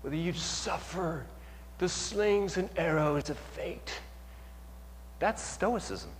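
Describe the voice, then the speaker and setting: A male pastor giving an animated sermon, asking the audience questions and rising to near-shouts to make his points. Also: on the high side, slow pace, a little hiss or hum. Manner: asks the audience rhetorical questions. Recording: long hall reverb.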